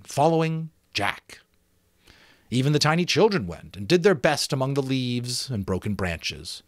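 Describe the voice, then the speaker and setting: A man reading a story aloud as an amateur narrator, dramatic and expressive, with deliberate phrasing. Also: mid-range voice, dry recording, studio quality.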